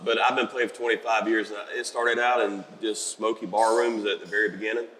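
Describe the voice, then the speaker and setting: Raspy, lower-voiced middle-aged man, a country singer, bright edge, long reverb from the festival grounds. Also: steady tone, brisk. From South Carolina. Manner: easygoing, humble, chatty. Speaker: country musician guest. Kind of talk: festival interview chat.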